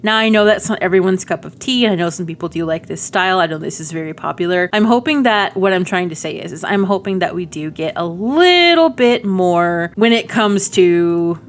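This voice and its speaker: A woman's voice: lowish voice, lively intonation, fairly quick, few pauses, a little hiss or hum. A middle-aged woman giving casual, rambling game demo commentary with cutesy slang. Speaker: gaming video creator.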